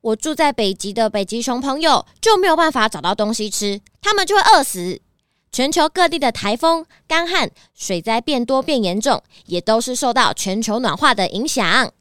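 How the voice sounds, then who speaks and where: A woman's voice: fairly high pitch, lively intonation, moderate pace, fairly bright tone, clean tone, no room sound, clean studio sound. A woman with a Taiwanese accent playing an earnest, preachy and cheerful car rental clerk in a children's radio drama, lecturing eagerly. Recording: music under the voice.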